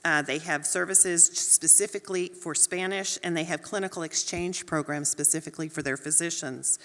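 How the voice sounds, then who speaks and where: A woman's voice: low voice, fairly even intonation, moderate pace, fairly bright tone. A woman with a Texas accent, a nursing dean speaking on a panel in an earnest, encouraging, conversational way. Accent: Texan. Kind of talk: panel talk. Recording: long hall reverb.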